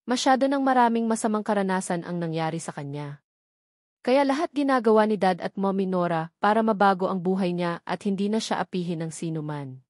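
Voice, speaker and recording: A woman's voice: mid-range voice, brisk, a little mellow, no echo, studio quality. A woman with a Filipino accent reading a first-person novel as a scripted narration. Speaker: audiobook narrator.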